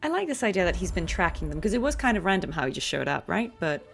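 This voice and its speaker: A woman's voice: lowish voice, very animated, quick pace, gravelly, a little hiss or hum. A woman chatting casually and musing aloud as she comments on a game during a livestream. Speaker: livestreamer and voice actress. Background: game music.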